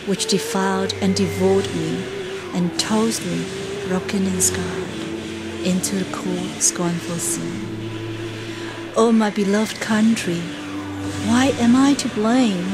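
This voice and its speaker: A woman giving a slow, mournful, declamatory poetry recital. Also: medium pitch. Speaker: reciter of a poem.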